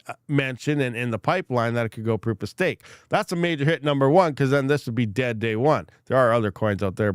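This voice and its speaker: A man's voice: mid-range voice, clean tone, dry recording, clean studio sound. A man hosting a crypto mining livestream and reading chat comments, congested while recovering from a lost voice, rambling frankly with many fillers and restarts.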